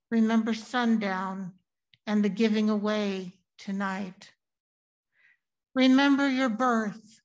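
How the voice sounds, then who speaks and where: A woman reading a poem aloud at a church service in a deliberate, emphatic recitation. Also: mid-range voice, poor audio. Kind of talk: poetry reading.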